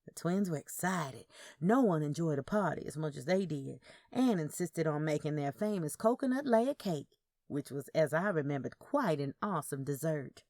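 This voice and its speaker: A woman's voice: sits low, animated, normal speed, a little mellow, no echo, clean studio sound. A woman narrating a first-person story, with character dialogue acted out. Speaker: narrator of audio fiction.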